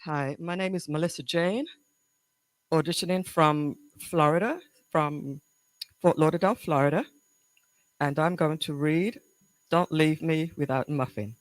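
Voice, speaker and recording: A woman's voice: low voice, measured pace, long pauses, dry recording. A woman auditioning as a performer, giving short, plain replies and introducing herself. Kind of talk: brief, plain self-introduction.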